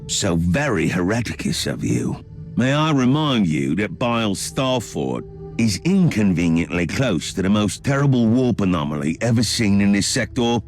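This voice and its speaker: An actor playing a courteous, dryly sardonic dignitary, formal and deliberate, in a theatrical game cutscene. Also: male voice, fairly low pitch, sing-song, faint music behind.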